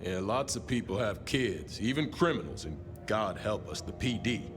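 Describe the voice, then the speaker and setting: An actor playing a touchy, sardonic veteran police officer in a video game, bantering bluntly, with long reverb and music around the voice. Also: male voice, on the low side, expressive, moderate pace, lightly acted. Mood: defensive, blunt. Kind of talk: video game dialogue acting.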